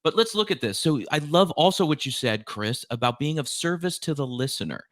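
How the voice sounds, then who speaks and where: A man's voice: a little high, animated, fairly quick, a bit bright, no echo. Enthusiastic male podcast host, dry sound. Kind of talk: conversational interview questioning.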